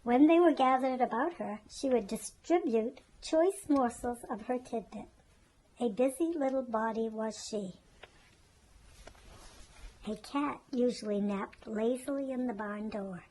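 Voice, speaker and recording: A woman's voice: fairly high pitch, a little mellow, slight background noise, low-quality recording. A woman narrating a children's storybook as a storyteller.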